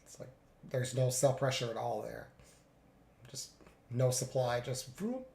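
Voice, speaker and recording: Congested gravelly man talking through trades. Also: mid-range voice, unhurried, roomy sound. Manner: thinks aloud, relaxed, many fillers. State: congested from a cold. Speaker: futures day trader streaming live. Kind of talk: live trading commentary.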